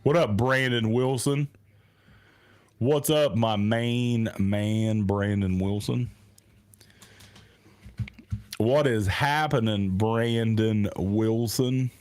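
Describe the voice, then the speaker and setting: A man co-hosting a casual sports podcast from a small studio, raspy and sick today, loud and profane as he jokes. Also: fairly low pitch, drawn-out words, pauses often. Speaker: podcast co-host.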